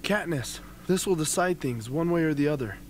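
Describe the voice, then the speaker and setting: A young man playing a wounded, devoted young victor in an amateur radio play, speaking in a halting, solemn way. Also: a little high, animated, natural voice. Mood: earnest. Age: young adult. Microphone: close studio microphone on stand. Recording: faint hum and background noise.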